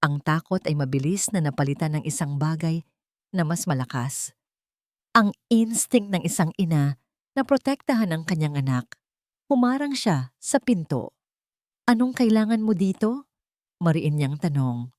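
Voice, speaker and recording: A woman's voice: deep voice, expressive, moderate pace, darkish tone, dry recording, clean studio sound. A woman storyteller reading a dramatic scripted narration.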